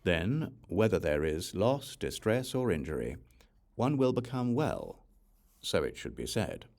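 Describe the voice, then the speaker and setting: A man narrating a Buddhist scripture audiobook in a measured, formal recitation. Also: low voice, big pitch swings, darkish tone.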